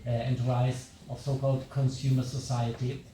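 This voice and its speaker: A man's voice: mid-range voice, little pitch movement, moderate pace, dark tone. A man speaking English as a second language, giving a university lecture, hesitant with many fillers and restarts. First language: not English. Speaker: visiting lecturer. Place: large echoing lecture hall. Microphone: handheld mic at some distance. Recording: echoey hall, distant mic, faint room noise.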